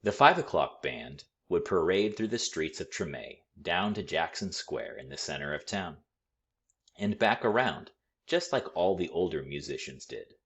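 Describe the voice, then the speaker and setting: A man reading a children's story aloud with expressive, deliberate, dramatic phrasing. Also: deep voice. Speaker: storybook read-aloud reader.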